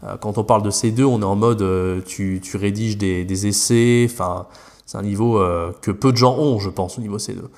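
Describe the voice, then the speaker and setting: A man's voice: on the low side, steady tone, normal speed, darkish tone. A French man, a language teacher, talking casually as a podcast guest, rambling with many fillers and restarts.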